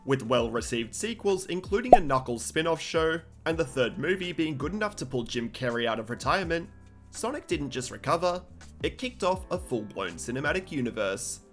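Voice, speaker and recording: Male video host for scripted countdown narration, lively intonation, light music bed. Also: on the high side, moderate pace.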